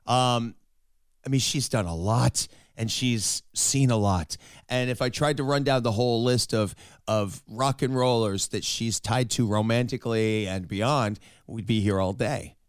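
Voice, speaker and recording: Chatty man with a raspy cold voice. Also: mid-range voice, a little slow, dry recording, studio quality. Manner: chatty, self-deprecating, laughs often. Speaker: host. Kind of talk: casual interview and narration.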